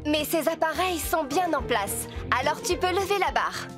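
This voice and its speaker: A woman playing a brisk, practical builder in a children's cartoon dub, explaining things patiently and instructively. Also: sits high, expressive, over music.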